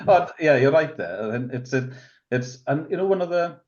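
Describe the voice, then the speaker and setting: A man's voice: a little high, animated, normal speed, a little mellow. Chatty Welsh man, muffled sound. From Wales. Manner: rambling, many restarts and fillers. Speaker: rugby club committee member, guest. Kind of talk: casual podcast interview.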